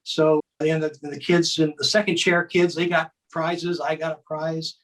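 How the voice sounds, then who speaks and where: An older man with a slightly high voice. He talks casually as a guest, telling personal memories in a loose, conversational way. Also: little pitch movement, measured pace, a bit bright. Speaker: guest, former child contestant. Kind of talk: casual reminiscing.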